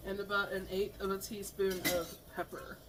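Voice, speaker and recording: A woman's voice: medium pitch, moderate pace, background noise. Chatty woman, kitchen clatter behind. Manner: chatty, relaxed, with fillers and stumbles. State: losing her voice from allergies. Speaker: cooking vlogger. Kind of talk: casual cooking vlog narration. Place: home kitchen. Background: clinking dishes, pots and pans.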